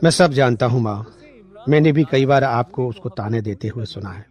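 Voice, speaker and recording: A man's voice: mid-range voice, moderate pace, darkish tone, lightly acted. A man voicing a protective, reassuring young Indian husband and son in a cartoon story. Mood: earnest. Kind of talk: Hindi animated moral story dialogue. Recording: music bed behind the voice.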